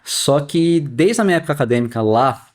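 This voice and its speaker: A man's voice: fairly high pitch, expressive, brisk. A man in his forties from the São Paulo interior, speaking with that Brazilian accent as a podcast guest who explains things in a friendly way, with many filler tags. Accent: São Paulo interior Brazilian Portuguese. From Sorocaba, São Paulo state, Brazil. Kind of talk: casual podcast conversation.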